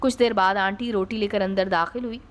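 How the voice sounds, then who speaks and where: A woman's voice: medium pitch, steady tone, brisk. A woman reading a scripted first-person story as its narrator.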